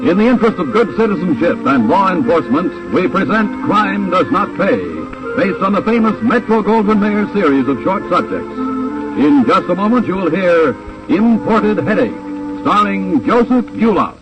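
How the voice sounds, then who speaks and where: Animated vintage radio announcer man. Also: sits high, moderate pace, rough recording. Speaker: announcer and narrator. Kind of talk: 1950s radio announcing, scripted narration. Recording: old radio recording with music.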